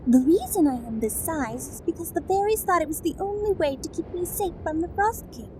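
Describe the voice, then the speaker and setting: Teeny prim fairy, super high voice, swoopy and slow, audio drama. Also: female voice, animated, darkish tone, slight background noise, poor audio. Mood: prim, explaining. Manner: dainty, prim, polite. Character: tiny, polite, prim female flower fairy. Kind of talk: audio roleplay drama acting.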